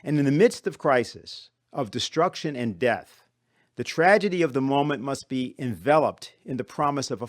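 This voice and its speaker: A man delivering a prepared formal speech as a conference speaker, with measured, rhetorical phrasing. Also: medium pitch, no echo, studio quality.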